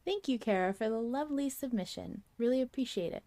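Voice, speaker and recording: A woman's voice: on the high side, lively intonation, fairly quick, mellow. A woman hosting a podcast in a casual, conversational way. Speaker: podcast host.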